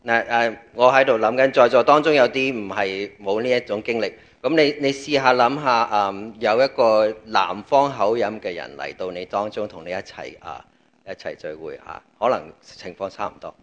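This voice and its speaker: A man with a Cantonese accent delivers a sermon in a fairly low voice. He talks conversationally and breaks his thoughts into short phrases, leaving gaps for an interpreter. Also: normal speed, a bit bright, lo-fi sound. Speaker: preacher.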